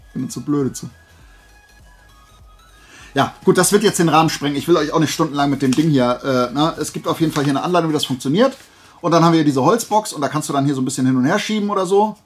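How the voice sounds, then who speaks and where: A man's voice: a little high, quick pace, pauses often, soft background music. A man hosting a casual YouTube haul vlog, talking informally in a rambling way as he thinks aloud. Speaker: YouTube host.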